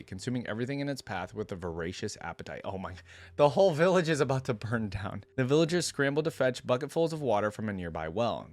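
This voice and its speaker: Man, lowish voice, casual reaction host, reading aloud, musing side comments, dry close sound. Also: no echo. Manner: casual, musing, hesitant asides.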